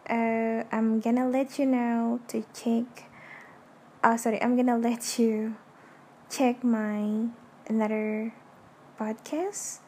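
A young Indonesian woman whose first language is Indonesian hosts her own podcast in a soft, hushed, slow way, talking casually from her bed late at night with a fan running in the room. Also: fairly high pitch, flat delivery. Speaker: podcast host. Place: dark bedroom at night with an electric fan running. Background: electric fan hum.

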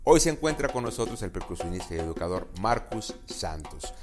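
A man's voice: low voice, big pitch swings, brisk. A man hosting a podcast, reading a formal introduction of a guest. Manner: formal, read-out delivery. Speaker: podcast host. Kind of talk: scripted introduction. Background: music.

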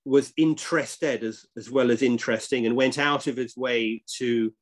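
Music commentator guy, thoughtful and measured, takes his time. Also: mid-range voice, steady tone. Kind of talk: reflective interview talk.

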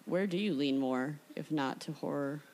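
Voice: mid-range voice, normal speed, darkish tone, poor audio. An interviewer chatting casually and putting questions to a guest.

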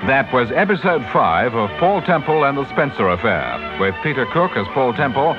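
A male continuity announcer reads closing credits in a mid-pitched, melodic voice. Music plays clearly behind him, and the vintage radio recording sounds dull. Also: very animated, normal speed.

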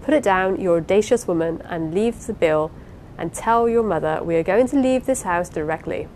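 A woman voicing a vain, fond, quick-tempered young wife with an emphatic, effusive manner in a dramatised novel reading. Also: expressive, darkish tone, lightly acted. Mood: indignant, furious. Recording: clear low rumbling background noise.

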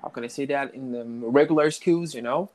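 A man's voice: mid-range voice, fairly quick, darkish tone. Hesitant Brazilian man in his late twenties. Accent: Brazilian Portuguese. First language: Portuguese. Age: 20s. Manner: hesitant, many restarts and "you know" fillers. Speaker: guest, network engineer learning English. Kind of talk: casual interview.

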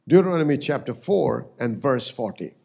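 A man's voice: mid-range voice, big pitch swings, normal speed, creaky, darkish tone, breathy edge. A man preaching a sermon as pastor, emphatic and swelling on key words.

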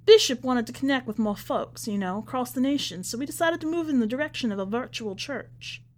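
A woman's voice: on the high side, a little fast, darkish tone, pitched above the performer's own voice, natural voice. A woman voicing a rambling, devout, evasive church woman with folksy speech in an audiobook story. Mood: explaining. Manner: chatty, folksy Southern-style church talk. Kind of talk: acted storybook dialogue.

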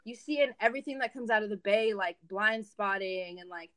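A young woman whose first language is Spanish talks in a relaxed interview. She rambles, circles back and fills her sentences with restarts. Her voice sits at an ordinary middle pitch for a woman. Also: fairly quick, clean tone. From United States. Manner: rambling, many fillers and restarts. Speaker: guest, event organizer. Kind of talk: casual interview chat.

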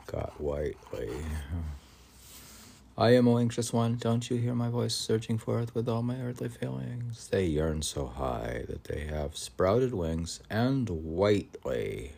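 A man with a very low, gravelly voice hosts a poetry reading. He moves between verse and rambling casual remarks. His musing is halting and unhurried, often questioning himself. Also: a little mellow. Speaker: podcast host reading poetry.

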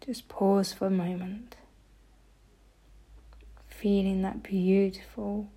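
Female meditation guide, mellow and breathy, with an even, barely moving pitch and soothing, gentle instruction. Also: slow pace. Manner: soft, gentle delivery, often breathy.

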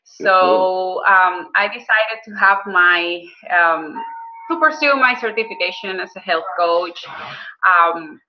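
A woman's voice: mid-range voice, dull audio. A woman, a guest speaking earnestly and hesitantly, with frequent ums and restarts. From Venezuela. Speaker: guest, health coach and business owner. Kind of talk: conversational interview.

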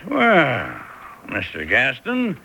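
A bright, gravelly Western sheriff. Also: male voice, mid-range voice, wildly swooping pitch, very slow, slight background noise, exaggerated voice. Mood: firm. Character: wry, firm sheriff of an Old West mining town. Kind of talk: old-time Western radio drama acting.

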